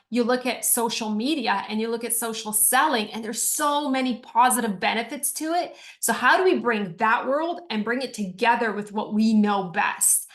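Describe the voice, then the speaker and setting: A woman from Croatia, a company co-founder, giving an earnest, energetic business pitch on a video call.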